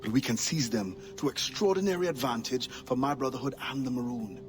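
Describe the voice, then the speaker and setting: An actor playing a proud former pirate quartermaster turned freedom fighter, speaking in a firm, earnest, measured way. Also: male voice, medium pitch, lightly acted. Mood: eager. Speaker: game character. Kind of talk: video game cutscene acting. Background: game score.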